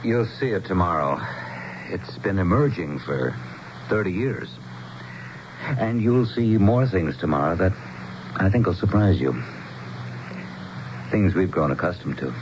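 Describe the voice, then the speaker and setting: An actor playing a grave, courteous American small-town mayor in a radio drama, speaking in a measured, dramatic storytelling way. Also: male voice, deep voice, animated. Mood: grave, haunted. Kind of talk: 1970s radio drama acting. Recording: dull, noisy old radio broadcast.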